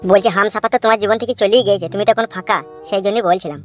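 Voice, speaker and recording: A man plays a cheeky, sly young cartoon villager with a highish voice and a coarse, teasing way of talking. The speech races along over faint music. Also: fairly even intonation, racing, lightly acted. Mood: coy.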